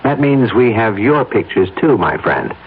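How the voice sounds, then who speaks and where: A man's voice: on the low side, lively intonation, a little slow. An American actor playing a hard, defiant conspirator in a radio mystery drama, pressing his accomplice in a curt, menacing way. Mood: coldly threatening. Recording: noisy, phone-like old radio broadcast recording.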